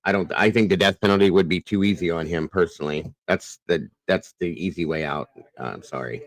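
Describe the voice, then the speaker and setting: A man's voice: sits low, fairly quick. A man hosting a live call-in show, greeting callers and giving blunt, outspoken opinions. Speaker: host.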